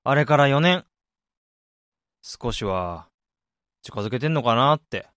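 A man's voice: mid-range voice, animated, measured pace, fairly bright tone, no echo, studio quality. A man, around his thirties, playing a wistful, blunt, introspective man in a radio drama and narrating his reflections. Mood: pensive. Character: wistful man unsure whether he became an ideal adult.

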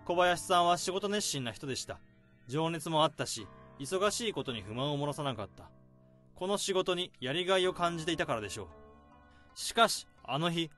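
A man playing a young office worker who calmly unravels a crime in a radio drama. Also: a little high. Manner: calm, probing, deliberate.

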